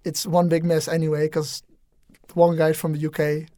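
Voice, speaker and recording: A woman's voice: deep voice, level tone, moderate pace, mellow, no echo, studio quality. A young woman with a Dutch accent, a native Dutch speaker, talking casually and thoughtfully on a student podcast with frequent hesitations. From Netherlands. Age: young adult. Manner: thoughtful, hesitant, many "um"s and restarts. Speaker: international exchange student.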